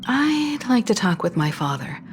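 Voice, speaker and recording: An actress voicing a poised, mature woman mentor who speaks in a calm, composed and gently authoritative way. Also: a little high, normal speed, a little hiss or hum.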